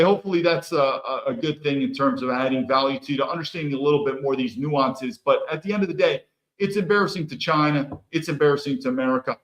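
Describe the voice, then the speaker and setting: A man's voice: fairly high pitch, fairly bright tone, poor audio. A man hosting a podcast, talking bluntly and emphatically in solo commentary and acting out imagined exchanges. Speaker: podcast host.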